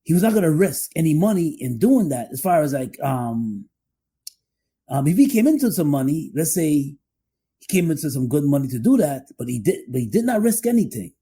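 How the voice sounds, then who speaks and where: A man co-hosting a podcast, discussing relationships conversationally in a halting way, often repeating his phrases. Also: a little high, big pitch swings, dark tone, slightly rough. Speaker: podcast co-host.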